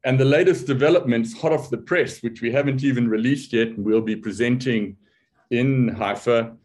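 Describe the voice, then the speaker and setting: Older South African male academic; relaxed, joking lecture voice. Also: mid-range voice, normal speed. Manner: wry, self-deprecating humor; loose, conversational delivery with restarts. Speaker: academic speaker. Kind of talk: conference talk.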